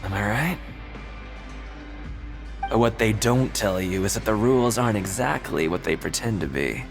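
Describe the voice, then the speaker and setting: A man's voice: fairly low pitch, animated, moderate pace, creaky, over music. A man voicing a sly, streetwise prisoner in a video game, teasing and matey as he hints at secrets. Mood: sly, conspiratorial. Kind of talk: video game cutscene voice acting.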